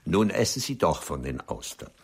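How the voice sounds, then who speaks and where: A man playing a vain, evasive, cool gentleman doctor in a radio drama, courtly and dryly understated. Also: deep voice, sing-song, normal speed, vocal fry, a little hiss or hum, exaggerated voice. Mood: smug, courtly. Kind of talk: radio drama dialogue acting.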